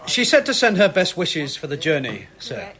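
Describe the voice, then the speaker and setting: An actor playing a restrained, polite police inspector with a dry manner in a wartime period audio drama. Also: male voice, fairly high pitch, huge pitch swings, moderate pace, fairly bright tone. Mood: reserved. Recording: reverberant hall, faint background noise.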